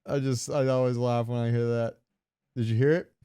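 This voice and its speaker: A man's voice: mid-range voice, little pitch movement, fairly quick, warm tone, clean tone, dry recording. A man hosting a casual gaming podcast, joking and opinionated. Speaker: podcast host.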